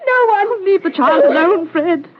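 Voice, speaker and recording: Anguished mother, high voice. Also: lively intonation, unhurried, heavy background noise. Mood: anguished, protective. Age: middle-aged. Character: protective, anguished middle-aged mother defending her daughter. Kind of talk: radio drama acting. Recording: tinny, narrow-band radio drama audio.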